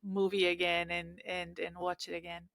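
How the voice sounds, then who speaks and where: A woman's voice: lowish voice, monotone, moderate pace, fairly bright tone, dry recording, studio quality. A woman hosting a job-hunting podcast and coaching clients, asking questions in a thoughtful way with hesitant restarts. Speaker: podcast host and career coach. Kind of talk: casual interview talk.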